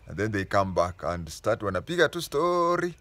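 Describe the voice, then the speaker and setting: A man with a Kenyan accent telling history in a casual lesson, unhurried, often stopping to restate and correct himself mid-sentence. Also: fairly low pitch, sing-song, a bit bright, no room sound. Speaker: history explainer.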